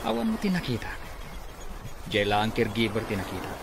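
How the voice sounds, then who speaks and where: A man's voice: on the low side, big pitch swings, brisk, vocal fry, noisy background. A man playing a greedy, scheming business rival in an Ilocano radio comedy-drama. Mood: gleeful scheming.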